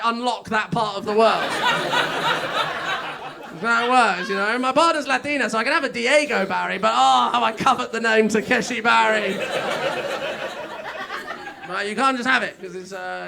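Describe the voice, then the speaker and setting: Young English male stand-up, high, bright and a bit gravelly, chatty and self-mocking. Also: fairly quick, background noise. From England. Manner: chatty, self-mocking, with frequent filler words. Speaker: stand-up comedian. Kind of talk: stand-up comedy set. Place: comedy club stage.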